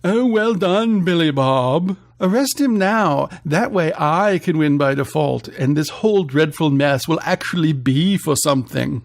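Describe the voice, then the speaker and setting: A man doing a pompous, drawn-out rich snob. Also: a little high, animated, vocal fry. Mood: sarcastic. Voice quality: thick affected snooty accent. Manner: pompous, haughty, disdainful.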